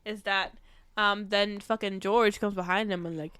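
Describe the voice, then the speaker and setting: A woman co-hosting a podcast in casual chat, telling stories in an animated, slangy, sweary way. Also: mid-range voice, normal speed, clear, resonant tone, no room sound. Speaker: podcast co-host. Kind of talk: casual chat retelling a movie.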